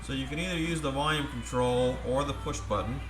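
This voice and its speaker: A man giving a casual, step-by-step product demonstration in a halting, plain-spoken way. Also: mid-range voice. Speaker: presenter demonstrating converted car radios. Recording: roomy echo, music playing from radio. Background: music from the demonstrated radio.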